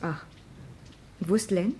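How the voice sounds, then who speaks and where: An actress in a German radio play, playing a weary, self-possessed vicar's wife with a guarded, dry manner. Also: female voice, medium pitch, a little slow, mellow, a little hiss or hum, close to an everyday voice. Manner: guarded, drily rebuffing.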